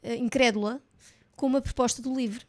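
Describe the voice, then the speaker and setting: A woman's voice: fairly high pitch, fairly even intonation, brisk. A woman with a European Portuguese accent co-hosting a casual political chat, emphatic and indignant, with hesitant fillers. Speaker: podcast co-host.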